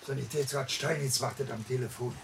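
Very gravelly, simple messenger played by a man. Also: mid-range voice, steady tone, brisk, close to an everyday voice. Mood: earnest, troubled. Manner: simple, step-by-step retelling. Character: plain-spoken, excited male messenger reporting news. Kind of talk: German radio play acting. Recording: noisy old radio recording.

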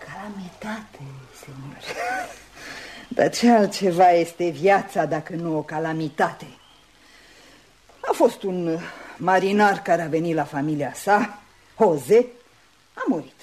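An actress playing an eccentric, melancholy old Spanish lady in a radio play, musing and wandering, sometimes dropping to a hush. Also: fairly low pitch, sing-song, breathy edge. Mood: musing, mournful. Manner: wandering, musing, with little laughs and sudden hushes. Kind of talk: Romanian radio theatre acting. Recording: noisy old radio drama recording.

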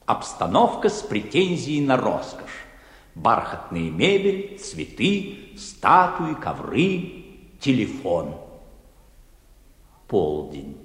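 Slow, expressive male narrator in echo. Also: mid-range voice. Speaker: narrator reading title and stage directions. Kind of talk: scripted theatrical narration for a radio play. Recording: echoey hall reverb with music behind.

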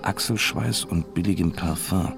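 An actor playing a hard-boiled private detective who narrates the story in a dry, laconic way, in a radio drama. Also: male voice, low voice, unhurried. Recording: music playing behind.

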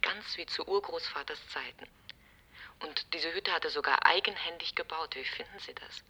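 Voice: medium pitch, normal speed, pushed and bright, slightly rough. An actor in a German radio drama playing a casual, faintly mocking housemate answering the telephone in a conversational way. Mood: gently mocking.

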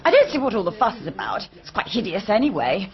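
An actress playing a sharp-minded, disdainful young niece in a radio drama, brisk and cutting. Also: female voice, medium pitch, big pitch swings. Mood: scornful. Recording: dull radio recording, people behind.

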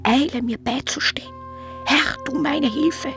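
An actress playing a devout, guilt-ridden woman reciting a breathy, pleading prayer in a radio drama. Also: high voice, measured pace. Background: music.

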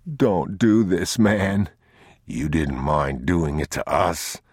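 A man's voice: rumbling low, expressive, slow, slightly rough, no room sound, studio quality, exaggerated voice. A man voicing a starving, shivering thief in an audiobook, begging in a strained, desperate way.

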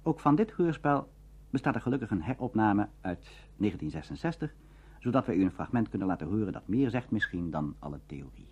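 A man's voice: on the low side, very animated, fairly quick, darkish tone, a little hiss or hum. A man with a Netherlands Dutch accent presenting a scripted radio documentary, on a dull recording.

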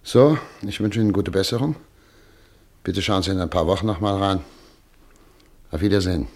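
An actor playing a calm, measured doctor in a radio play. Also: male voice, low-pitched.

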